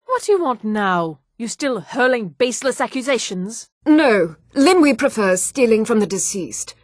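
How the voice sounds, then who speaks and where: A haughty female high elf from a fantasy video game. She talks in a measured, condescending way at a middle pitch. The recording is dry, with no room on it. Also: no room sound. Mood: defensive, then accusing.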